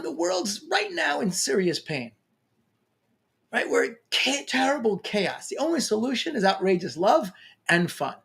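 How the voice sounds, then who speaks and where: Lively New York man giving a pep talk. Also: high voice, gravelly. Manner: energetic, emphatic, playful. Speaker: LinkedIn coach. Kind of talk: motivational talk to camera.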